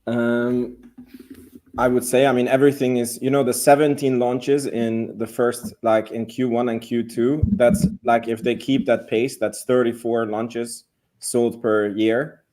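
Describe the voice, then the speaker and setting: Halting male guest analyst, casual. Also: medium pitch, flat delivery, dark tone. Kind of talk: casual discussion.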